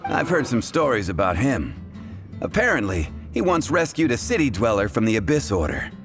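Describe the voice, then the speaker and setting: Reserved bartender in a fantasy game, dry n guarded, soft music under it. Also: male voice, mid-range voice, sing-song, moderate pace. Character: discreet, reserved male tavern bartender. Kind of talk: video game voice acting.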